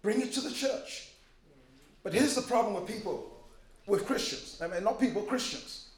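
A man's voice: sits high, lively intonation, moderate pace, reverberant, low-quality recording. A man from Liberia preaching a sermon as a pastor, emphatic and joking, with repeated phrases. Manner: emphatic preaching with humor and repetition.

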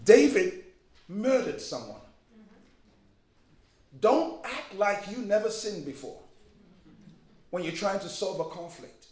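Liberian-born pastor, high-pitched, emphatic and humorous sermon delivery, roomy hall sound. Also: male voice, sing-song, slow pace, pauses often, darkish tone. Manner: emphatic preaching with humor and repetition.

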